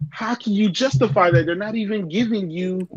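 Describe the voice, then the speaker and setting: A man's voice: sits high, moderate pace, crowd noise. A man in a casual gaming chat, pushing his points in an argumentative way. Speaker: podcast co-host. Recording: dull dry lo-fi mic.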